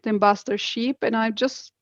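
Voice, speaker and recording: A woman's voice: a little high, steady tone, fairly quick, no room sound, muffled recording. A woman from Brazil with a Brazilian Portuguese accent, speaking English as a guest in an interview, earnest and enthusiastic, often restarting her sentences. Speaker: guest, crypto educator. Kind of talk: interview conversation.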